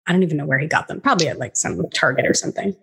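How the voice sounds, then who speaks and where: A woman's voice: sits low, expressive, racing, fairly bright tone, breathy edge. A woman from Hawaii interviewing a guest in a casual, friendly chat.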